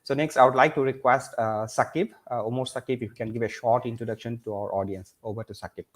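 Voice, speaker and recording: A man's voice: medium pitch, steady tone, fast, slight background noise. A man hosting an online panel, full of fillers like "uh" and "you know", now and then switching into Bengali. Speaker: host.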